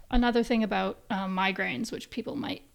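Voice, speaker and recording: A tired young woman from Canada hosts a solo podcast, her voice sitting at an ordinary middle pitch. She keeps things soft and low-key because of a migraine, often dropping into whispery asides. Her talk wanders and doubles back on itself. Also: moderate pace, creaky. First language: English. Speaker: podcast host. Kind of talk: casual solo podcast chat.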